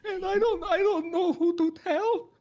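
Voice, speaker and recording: A man playing a befuddled, childlike man in his eighties, halting and stammering in a wavering old voice during improvised roleplay. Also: very high-pitched, fairly even intonation, a little mellow, dull audio. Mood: anxious confession. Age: eighties, as played. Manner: halting, stammering, rambling, naive. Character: muddled, childlike eighty-two-year-old man testifying as a witness.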